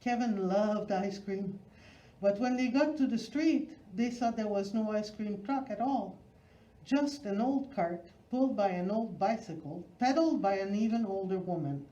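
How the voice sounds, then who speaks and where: A woman's voice: mid-range voice, animated, measured pace, dark tone. A woman reads a children's picture book aloud as a storyteller, expressively, phrase by phrase with emphasis. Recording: roomy, slightly dull recording.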